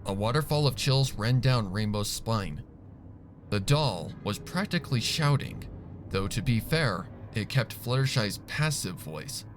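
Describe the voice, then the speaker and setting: Slow, expressive male story narrator. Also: lowish voice, slight background noise. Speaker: fan fiction reader. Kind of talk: scripted dramatic story narration.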